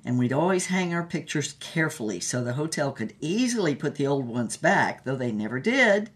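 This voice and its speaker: A woman's voice: low voice, big pitch swings, moderate pace. An actress playing a defiant, tender older woman artist, telling stories in an emphatic, theatrical way for an audio drama. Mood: mischievous pride. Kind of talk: audio drama acting, storytelling.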